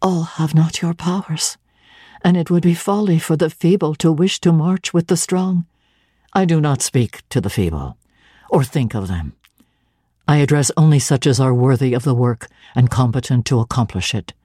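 A woman voicing an earnest young governess, speaking higher and with urgent emotion. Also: moderate pace, dark tone, slightly rough, no room sound, studio quality.

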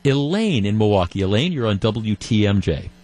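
A man's voice: on the low side, very animated, normal speed, darkish tone. A male radio talk show host chatting with call-in listeners, often restarting sentences and chuckling. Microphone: studio microphone.

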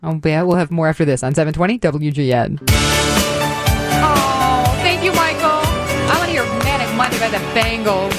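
A woman hosting a casual radio interview in a chatty, animated way on a close studio mic. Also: a little high, normal speed, loud music behind the voice. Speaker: radio host.

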